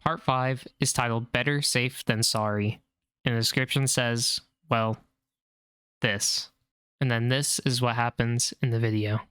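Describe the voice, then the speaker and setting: A man's voice: medium pitch, fairly even intonation, measured pace, a bit bright, smooth. A man narrating explainer commentary on horror videos.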